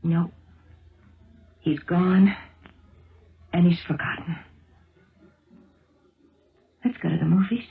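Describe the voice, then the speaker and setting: An actress playing a determined, emotional wife turned amateur sleuth in a 1970s radio drama, earnest and dramatic. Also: female voice, fairly low pitch, steady tone, slow, vocal fry, long pauses. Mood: tender, apologetic. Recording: muffled, roomy 1970s radio recording.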